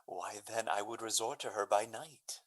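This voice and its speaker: A man playing an earnest, courteous young gentleman lover in a Shakespearean radio drama, speaking florid verse. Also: fairly low pitch, moderate pace. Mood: helpful, eager. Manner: hesitant openings, then florid Shakespearean verse.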